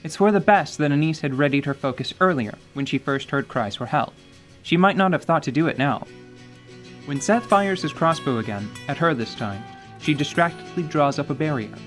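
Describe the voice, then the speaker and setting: A man giving scripted, measured storytelling narration for a fantasy radio drama. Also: on the high side, lively intonation, a bit bright. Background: light music.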